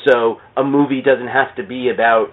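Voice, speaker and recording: A man's voice: mid-range voice, fairly even intonation, normal speed. A man chatting casually about comics and films in a rambling, jokey way that often interrupts itself. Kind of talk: casual comics and film chat. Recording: thin phone-like podcast audio.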